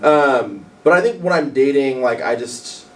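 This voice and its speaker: A man with a deep voice, talking candidly on a radio panel, hesitant and full of "like" and restarts. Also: animated, a little slow, fairly bright tone, far from the mic, low-quality recording. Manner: hesitant, many fillers and restarts. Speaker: panel guest. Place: radio studio.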